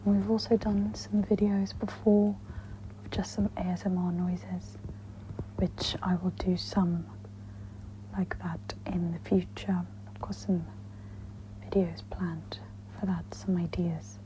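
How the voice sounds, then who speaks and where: A woman speaks in a whispery, breathy voice, gently guiding a meditation in a soft-spoken ASMR style. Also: fairly low pitch, level tone. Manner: slow, gentle, instructional. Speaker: host of guided meditation ASMR. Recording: dull lo-fi with audible background noise.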